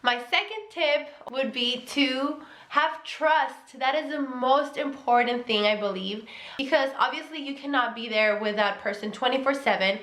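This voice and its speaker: A woman's voice: high-pitched, unhurried, fairly bright tone. A young woman with a Mexican accent giving relationship advice in a casual vlog, earnest and emphatic, repeating key words. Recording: echoey room.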